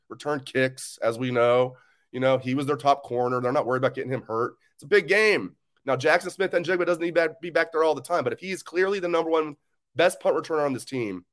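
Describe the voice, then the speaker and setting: A man with a scratchy voice, hosting his own sports show, talking in a loose, opinionated, rambling way. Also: medium pitch. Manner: animated, opinionated, rambling fan talk. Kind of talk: casual solo sports talk.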